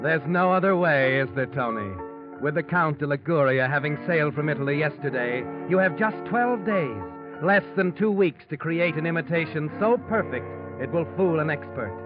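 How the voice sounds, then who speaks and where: Man acting a haunting radio mystery narrator over loud music. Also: on the high side, animated, close to an everyday voice. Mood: knowing, taunting. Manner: haunting, knowing, deliberate dramatic delivery. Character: omniscient sinister male narrator taunting the guilty protagonist. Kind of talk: 1940s radio mystery narration in second person. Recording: muffled old radio recording with loud music.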